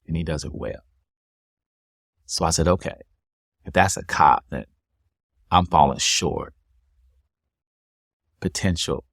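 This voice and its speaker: A man's voice: sits low, a bit bright, no room sound, clean studio sound. A man speaking in an interview, earnest and motivational, telling stories and reflecting on purpose.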